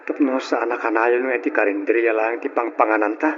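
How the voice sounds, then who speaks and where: An actor playing an easygoing, devoted young Filipino boyfriend of modest means in a radio drama. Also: male voice, mid-range voice, fairly even intonation, a little fast, a crowd in the background, close to an everyday voice. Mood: easygoing, sheepish. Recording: muffled, slightly noisy old radio recording.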